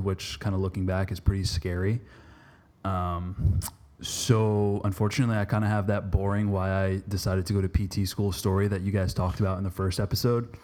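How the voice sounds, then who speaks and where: Low-voiced young man chatting easily. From Massachusetts. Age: 20s. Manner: relaxed, chatty, many fillers like "kinda" and "um". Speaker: podcast guest, physical therapy student. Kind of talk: casual podcast interview.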